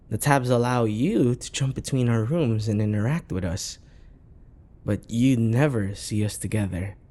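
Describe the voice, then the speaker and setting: A man playing a possessive, obsessive dating-game character in an intimate ASMR roleplay, softly coaxing with endearments, sighs and whispers. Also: medium pitch.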